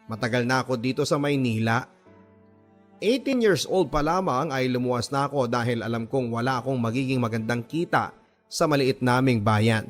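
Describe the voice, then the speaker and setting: A male actor plays a gentle twenty-something working man recounting his life for a radio drama. His medium-pitched voice is earnest and sentimental, moving in measured phrases with dramatic pauses. Soft music sits underneath the narration. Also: lightly acted. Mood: wistful, earnest. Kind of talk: first-person dramatic radio storytelling.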